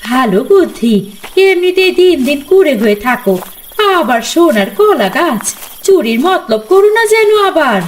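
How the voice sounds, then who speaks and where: A woman playing a sharp-tongued but caring village wife in a fairy-tale cartoon, with expressive, dramatic delivery. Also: sits high, normal speed, background noise. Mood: scolding.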